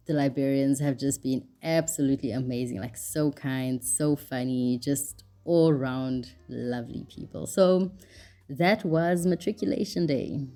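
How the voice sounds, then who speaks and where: Chatty low-voiced woman vlogger. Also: mellow. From South Africa. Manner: chatty, lots of "like", laughs often. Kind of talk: casual travel vlog narration.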